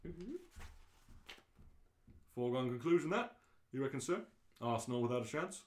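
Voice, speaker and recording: A man's voice: mid-range voice, animated, normal speed, a little mellow, lightly acted. A man playing a chatty, deferential pub barman making obliging small talk in an amateur radio play. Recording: roomy, echoing live reading.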